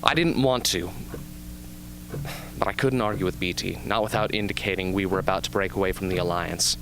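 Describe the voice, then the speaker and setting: A man playing a young, haunted but earnest arena survivor with intense, deliberate delivery in an amateur radio drama. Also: low-pitched, fairly even intonation, noisy background. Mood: haunted, reflective. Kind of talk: amateur radio drama acting, interview scene.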